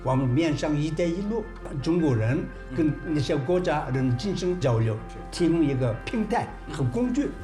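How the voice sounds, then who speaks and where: A man, a professor and engineer, giving an interview in which he explains his technology deliberately. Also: on the high side, expressive. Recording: music bed under the voice.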